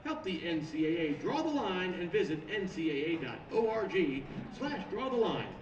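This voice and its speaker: A man's voice: sits high, sing-song, moderate pace. A man reading a scripted public service ad, with a dull, echoey, distant sound over crowd noise. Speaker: public service announcement reader. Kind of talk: scripted ad read.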